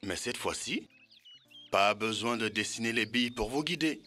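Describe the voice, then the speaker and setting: A man's voice: lowish voice, normal speed, pushed and bright, faint music behind. A man voicing an encouraging elder mentor who instructs children in a French educational cartoon.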